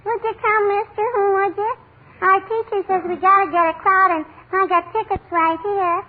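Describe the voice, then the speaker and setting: A woman's voice: extremely high pitch, fairly even intonation, moderate pace. A cheeky little schoolgirl. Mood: wheedling. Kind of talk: 1940s radio comedy acting. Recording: thin, lo-fi 1940s radio broadcast.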